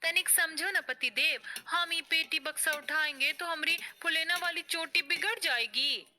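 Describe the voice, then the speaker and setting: A woman's voice: very high voice, measured pace, pushed and bright, a little hiss or hum, voice pushed up, exaggerated voice. A woman voicing a naive, fussy young village wife with a rural eastern Uttar Pradesh accent in a comic cartoon. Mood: coy, fussy. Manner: rustic, plaintive. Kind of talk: comic Hindi cartoon story dub. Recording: music bed under the voice.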